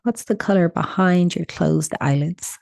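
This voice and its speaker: A woman leading a guided meditation in a soothing, instructional manner with deliberate pauses. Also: on the low side, vocal fry, darkish tone, no room sound, studio quality.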